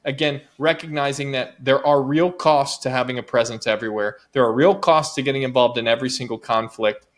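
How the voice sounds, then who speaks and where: A man's voice: mid-range voice, brisk, hardly any pauses. Earnest man arguing policy as a guest. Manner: earnest, persuasive, builds points with repetition. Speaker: guest author and advocacy director. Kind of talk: interview, making an argument.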